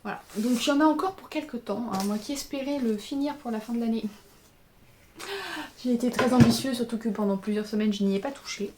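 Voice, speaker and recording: A woman's voice: fairly high pitch, fairly quick, noisy background. A tired woman in her thirties chatting casually for her crafting podcast, hesitant with many fillers while showing her work to the camera. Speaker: knitting pattern designer and podcaster. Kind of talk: casual video podcast chat.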